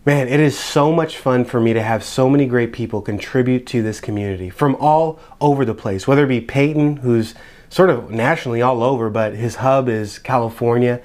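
A man's voice: mid-range voice, fairly quick, a little mellow. A man from Washington State hosting a podcast, introducing the next guest in a friendly, conversational way. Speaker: podcast host. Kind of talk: casual show introduction.

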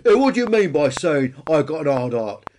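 A thick-skulled dinosaur bully from a storybook, acted out by a man, pitched up and loud. He is blunt, boastful and cocky, with faint clicks and noise on the recording. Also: big pitch swings, fairly quick. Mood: indignant. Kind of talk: children's storytelling character voice.

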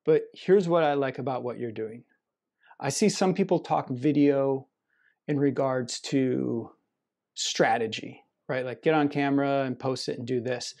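A man's voice: mid-range voice, measured pace, darkish tone. A man hosting a podcast, introducing and interviewing in a conversational way. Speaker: podcast host.

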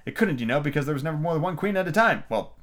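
A man's voice: fairly high pitch, animated, racing, a bit bright. A man voicing a young girl who sounds puzzled and impatient, fretting and asking questions. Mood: musing. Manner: fretful, questioning.

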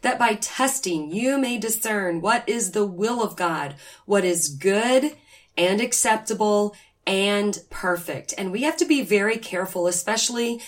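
Woman podcast host, mid-range voice, a little raspy and nasal from a cold, earnest delivery. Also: mid-range voice, expressive, measured pace. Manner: earnest, reads scripture aloud. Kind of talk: faith podcast talk.

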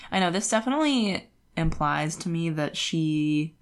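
A woman's voice: deep voice, lively intonation, normal speed. A woman co-hosting a podcast in a casual book chat, often paraphrasing characters in an informal, conversational way. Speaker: podcast co-host.

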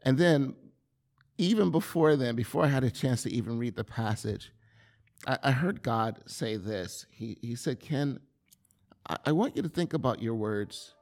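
Earnest gravelly-voiced man giving testimony. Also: mid-range voice, a little mellow. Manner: earnest, confessional, halting with repeated words. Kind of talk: personal testimony sermon.

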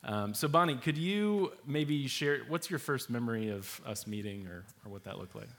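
Young male host, relaxed and chatty while presenting slides. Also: medium pitch, moderate pace. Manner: relaxed, many fillers, laughs easily.

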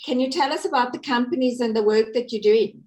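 A woman's voice: a little high, fairly quick, darkish tone. South African woman interviewing, direct and upbeat. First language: Afrikaans. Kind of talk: conversational interview.

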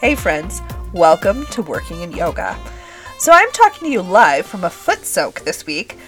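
Raspy-voiced woman podcast host. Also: lowish voice, wildly swooping pitch, moderate pace, faint music behind. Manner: chatty and warm-hearted, addresses listeners as friends. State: recovering from illness, scratchy voice. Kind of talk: casual podcast monologue.

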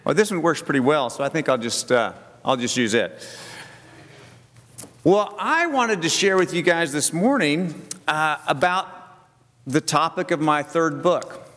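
A middle-aged or older man, giving a folksy, halting, self-deprecating talk to a group. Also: on the high side, fairly bright tone. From southern United States. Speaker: speaker addressing a church group. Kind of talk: personal talk with stories and Bible reading. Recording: long echoing hall reverb.

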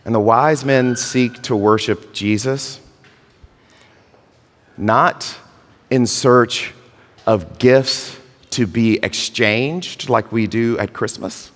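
A man preaching a sermon as pastor, earnest, with drawn-out phrasing. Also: medium pitch. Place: church sanctuary. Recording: long hall reverb.

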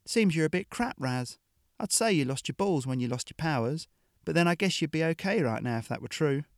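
A man voicing a big, brutal female mercenary who sneers and taunts, in a high put-on voice. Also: lively intonation, brisk, no room sound, clean studio sound. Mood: sneering.

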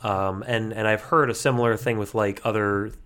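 A man's voice: fairly low pitch, fairly even intonation, moderate pace, fairly bright tone. A man co-hosting a podcast, explaining things casually with a wry, playful manner. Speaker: podcast co-host.

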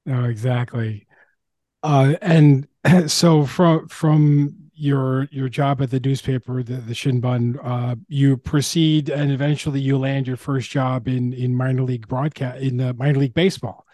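A man with a medium-pitched voice hosts a casual interview. He feels his way into questions, restarting as he goes. The sound is very dry, with almost no room on it. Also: fairly even intonation, measured pace, mellow, no room sound. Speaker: podcast host interviewing.

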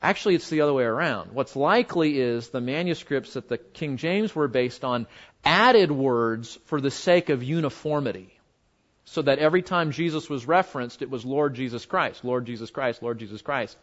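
Male American Bible teacher, mid-range voice, conversational and discursive, lecturing informally on a muffled recording. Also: mid-range voice. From United States. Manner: conversational, halting, with asides. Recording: dull, muffled lo-fi audio.

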